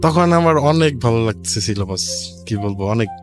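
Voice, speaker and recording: A man's voice: mid-range voice, expressive, moderate pace. A young man with a Bangladeshi accent answering an interviewer casually in short, plain replies. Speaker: interview guest. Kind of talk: casual chat, answering questions. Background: music.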